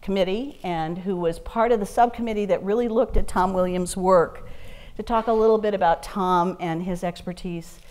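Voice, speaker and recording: A woman's voice: mid-range voice, moderate pace, a little mellow. A woman school superintendent introducing speakers at a public meeting in a chatty, informal, anecdotal way. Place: city meeting room.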